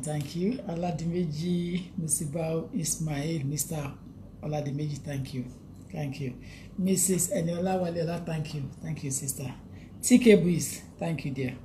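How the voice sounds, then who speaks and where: A woman's voice: low voice, a little mellow, a little hiss or hum. A woman with a Nigerian English accent hosting a live stream, greeting viewers by name and repeating her points in a chatty way. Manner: chatty, repeats phrases, reads out viewer names, laughs often. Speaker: livestream host.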